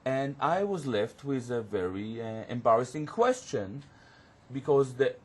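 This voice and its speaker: A man whose first language is Hebrew, a guest on a studio panel discussion, who talks haltingly and searches for words with many fillers. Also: medium pitch, very animated, slightly rough. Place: community TV studio.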